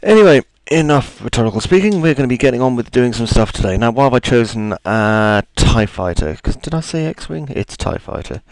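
A man with a gravelly, deep voice, chatting his way through a software tutorial with plenty of ums and asides. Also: lively intonation, brisk, hardly any pauses, no echo, poor audio. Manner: chatty, self-deprecating, thinks aloud with ums. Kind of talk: screen-recorded software tutorial.

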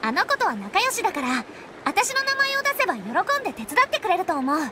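An actress playing a friendly, caring young girl guide in an anime game, speaking casually and giving gentle instructions. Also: very high-pitched, big pitch swings, quick pace, very noisy. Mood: caring, helpful. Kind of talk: Japanese anime game dub acting. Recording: game music behind.

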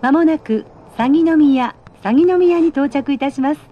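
A woman reading prerecorded train announcements in a polite, measured, precisely phrased manner. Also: sits high. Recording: dull, band-limited train announcement audio.

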